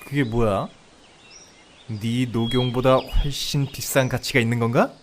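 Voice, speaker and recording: A man playing a greedy, scheming woodcutter in a comedic audio fairy tale, with a gruff, blunt, muttering delivery. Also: mid-range voice, steady tone, measured pace, slight background noise, close to an everyday voice. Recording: outdoor nature ambience, birdsong behind.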